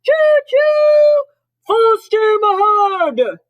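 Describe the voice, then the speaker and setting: Woman playing jolly Santa Claus, high-pitched, exclamatory, drawn-out words, excitable, children's storybook. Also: dull audio. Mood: loud, excited. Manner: booming, drawn-out, exclamatory delivery. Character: jolly, excitable Santa Claus, old man trying hats. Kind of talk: children's storybook read-aloud.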